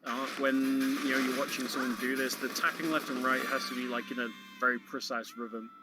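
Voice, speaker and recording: A man commentates live on a video game in an informal, explanatory way. His voice sits at an average pitch, with game music clearly playing behind him. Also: level tone, brisk, low-quality recording. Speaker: speedrun commentator.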